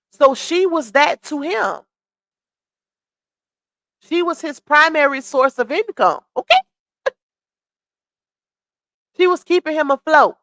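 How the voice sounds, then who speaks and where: A woman's voice: high voice, a bit bright, dry recording. Chatty woman hosting a gossip live stream. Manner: chatty, reads out comments and greets viewers. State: losing her voice.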